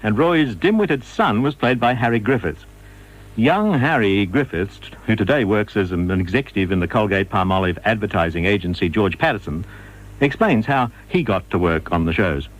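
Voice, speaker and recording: A man's voice: fairly low pitch, animated, moderate pace, rough recording. An Australian man presenting a radio documentary in scripted narration.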